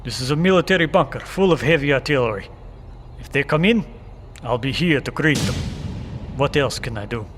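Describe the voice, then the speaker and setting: An actor playing a wary, stoic watchman in a bunker, speaking deliberately and formally in an audio drama. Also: mid-range voice, lively intonation, close to an everyday voice. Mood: grim resolve. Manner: deliberate, formal phrasing without contractions. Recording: echoey bunker reverb with background noise.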